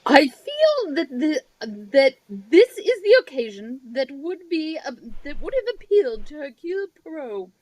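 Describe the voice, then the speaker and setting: A gracious lady author, played by a woman giving a radio address. The voice is high and lilting, slow and measured, with a dry close sound. The manner is stately and formal. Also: very animated, a little mellow, no room sound, low-quality recording. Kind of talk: old-time radio scripted address.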